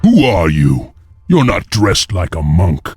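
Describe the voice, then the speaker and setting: A man playing a weary, resolute clan lord and hero in a video game, speaking tersely and gravely. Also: low voice, wildly swooping pitch, takes it slowly, a little mellow, no room sound, soft background music, full character voice. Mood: wary. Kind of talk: dramatic video game acting.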